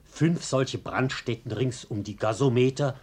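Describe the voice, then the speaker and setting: An actor in a German radio play playing a polite, coolly menacing arsonist who is deliberate and mockingly earnest. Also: male voice, medium pitch, a little mellow, a little hiss or hum, lightly acted. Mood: calm menace.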